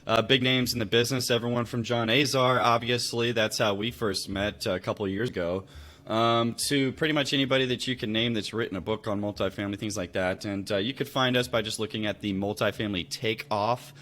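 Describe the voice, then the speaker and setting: A man's voice: fairly low pitch, fairly even intonation, brisk, a bit bright. An American man speaking in a casual, conversational storytelling style as a podcast guest. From Louisville, Kentucky, United States. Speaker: podcast guest, real estate investor.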